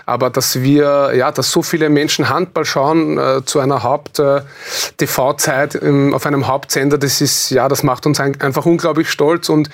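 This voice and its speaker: A man's voice: fairly high pitch, fairly even intonation, normal speed. Austrian man, earnest interview guest. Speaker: athlete interview guest, handball goalkeeper. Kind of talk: studio interview. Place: TV studio.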